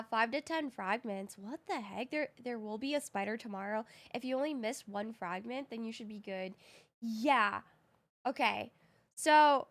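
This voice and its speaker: A woman live streaming a game in casual, slangy chat, her voice a little groggy from having recently woken up. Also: fairly high pitch. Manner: slangy, informal.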